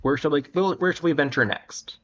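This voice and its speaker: A man hosting a livestream and reading aloud, haltingly, often stumbling over and repeating his words. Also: fairly high pitch, fairly bright tone, lo-fi sound. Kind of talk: dramatic reading and casual livestream chat.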